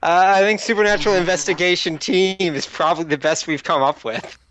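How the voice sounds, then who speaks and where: A man's voice: high voice, fairly quick, a crowd in the background. A man playing in a tabletop group, chatting casually in a reasoning way that often corrects the others. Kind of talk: casual group game chat.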